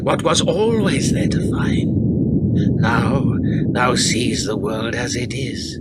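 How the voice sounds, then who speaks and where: A man playing a proud, tormented medieval lord in a radio drama, declaiming archaic English with dramatic pauses. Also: medium pitch, expressive, very noisy. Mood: mocking, morbid. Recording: radio play with loud music behind.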